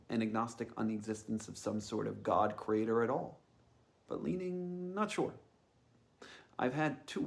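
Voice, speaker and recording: A man's voice: fairly low pitch, gravelly. A man giving a reflective personal monologue as a host, musing tentatively and thinking aloud. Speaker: podcast host.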